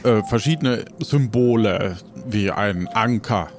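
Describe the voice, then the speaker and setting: A man's voice: lowish voice, takes it slowly, faint music behind. A man leading an improvised audio adventure as game master, casual and searching for his words. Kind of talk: improvised narration and casual role-play.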